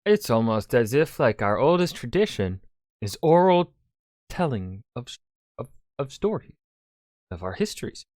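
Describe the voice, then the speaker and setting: Lively man giving casual reaction commentary. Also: medium pitch, normal speed, no echo, studio quality. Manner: joking, sweary. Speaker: reaction video host.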